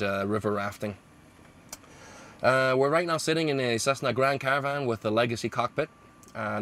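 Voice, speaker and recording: A man in his forties, an airline captain, casually explaining his career and routes to a camera in a small plane's cockpit, pausing often with fillers. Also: lowish voice, a little hiss or hum. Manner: relaxed, explanatory, with frequent hesitations. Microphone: camera close in front of him.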